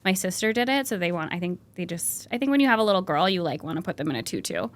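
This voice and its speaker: A woman's voice: lowish voice, expressive, fast. A woman from Rhode Island, guest on a podcast, friendly and thinking aloud, often laughing. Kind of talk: casual interview.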